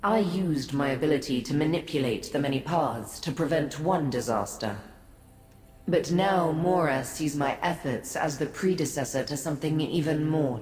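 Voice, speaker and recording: Slow, low godlike woman, echoing. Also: darkish tone, slight background noise. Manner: measured, formal, condescending to mortals. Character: ancient aloof female godlike being, cryptic and haughty. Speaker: video game character. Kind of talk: scripted fantasy game dialogue. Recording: echoey, long reverb.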